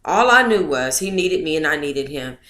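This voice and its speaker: A woman's voice: sits low, moderate pace. Chatty woman in an echoey room. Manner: expressive, chatty, breaks off to talk to listeners. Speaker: book club host reading aloud. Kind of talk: casual reading of a memoir, then chat with viewers.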